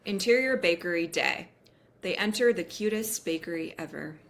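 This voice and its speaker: A woman's voice: fairly low pitch, expressive, moderate pace. A woman reading the stage directions of a script aloud as scripted narration at a table read.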